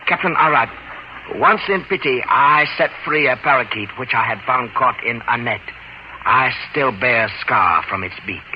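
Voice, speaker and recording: An actor playing a wry, philosophical Chinese seafarer with formal, clipped phrasing, in an old-time radio adventure on a noisy recording. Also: male voice, medium pitch, moderate pace, dull audio, natural voice. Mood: wistful.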